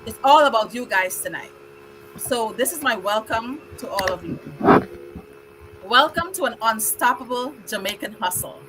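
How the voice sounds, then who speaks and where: A woman's voice: on the high side, expressive, normal speed, very noisy. A middle-aged woman from Guyana hosting a live online show, welcoming guests and reciting a rhyming poem in an upbeat, rhythmic way. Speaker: host.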